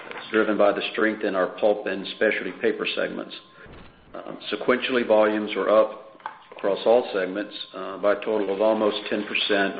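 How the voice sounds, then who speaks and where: Male executive, lowish monotone delivery of financial results, businesslike, on a dull conference line with faint noise. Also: echoey room. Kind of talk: prepared earnings call presentation. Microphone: conference call line.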